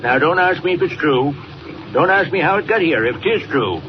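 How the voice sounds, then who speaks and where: An actor playing a folksy, plainspoken old icebreaker captain with rustic speech, in 1940s radio style. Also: male voice, a little high, expressive, fairly quick, lightly acted. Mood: folksy, wary. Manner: folksy rustic dialect, old-salt talk. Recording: muffled, very noisy 1940 radio recording.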